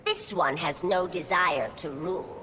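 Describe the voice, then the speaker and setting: An actress playing a proud, aloof insect-woman creature in a video game, with formal, deliberate speech. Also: on the low side, big-room sound, noisy background, lightly acted. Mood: aloof defiance. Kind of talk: video game fight intro dialogue.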